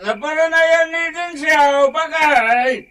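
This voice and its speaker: An actor with an Ilocano accent playing a slurring, silly, stubborn drunk husband in a radio comedy drama. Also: male voice, very high voice, slow, very noisy. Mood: drunken babbling.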